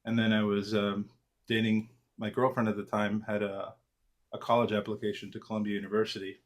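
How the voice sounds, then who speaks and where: Low-voiced man in his forties. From Argentina. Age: 40s. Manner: relaxed, self-deprecating, frequent fillers and restarts. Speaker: trader, interview guest. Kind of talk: casual interview answers.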